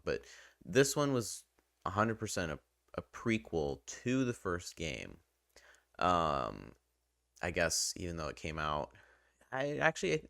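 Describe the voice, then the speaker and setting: A man with a very low, smooth voice talks through a game review like a video host. He is conversational and rambling, clearly keen on his subject. The sound is dry and close, with clean, resonant delivery. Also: a bit bright, no room sound. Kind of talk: casual, loosely scripted game review commentary.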